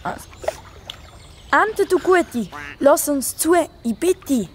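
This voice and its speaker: A woman's voice: high-pitched, animated, takes it slowly, noisy background. A woman playing an earnest, sensible young girl who looks after her brother, in a children's radio play. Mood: earnest, urging.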